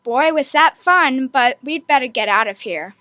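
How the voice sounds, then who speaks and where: A woman's voice: high voice, expressive, moderate pace, sharp tone, no room sound. A woman playing an earnest, apologetic young child in a children's radio drama.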